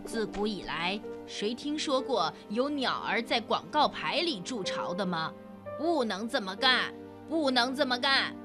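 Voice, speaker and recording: A woman acts out a disapproving storybook pigeon, fussy and scolding. The voice is fairly high and swings about a lot, with clear music behind it. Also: big pitch swings, measured pace. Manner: scolding, repetitive.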